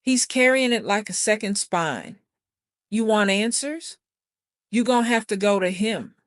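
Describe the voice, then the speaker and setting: A woman's voice: medium pitch, lively intonation, a little slow, no room sound, studio quality, natural voice. A woman playing a knowing, folksy older aunt, frank and soothing in audiobook dialogue.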